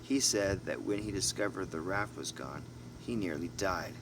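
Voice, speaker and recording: Man, fairly low voice, informal storybook narration, amateur reader, clear background noise. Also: moderate pace. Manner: informal, stumbles and corrects himself. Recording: noisy home recording with steady hum.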